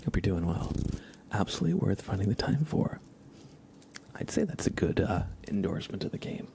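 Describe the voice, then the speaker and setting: A man hosting a casual ASMR livestream, chatting in a soft, breathy, hushed whisper with an unhurried, rambling delivery. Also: deep voice, big pitch swings, noisy background.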